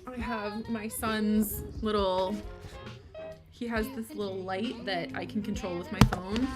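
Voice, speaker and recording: A woman's voice: mid-range voice, steady tone, unhurried. A tired woman with a Michigan accent, chatting casually and rambling as she answers viewers during a livestream. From United States. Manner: rambling, chatty, self-deprecating, answers chat questions. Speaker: livestream host. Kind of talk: casual chat with viewers during a co-working stream. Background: lofi music.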